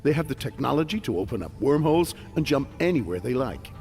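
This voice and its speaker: A brisk earnest space security commander, game cutscene briefing, music under it. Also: male voice, medium pitch, sing-song, darkish tone. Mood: serious briefing. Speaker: security chief officer. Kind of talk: scripted video game cutscene dialogue.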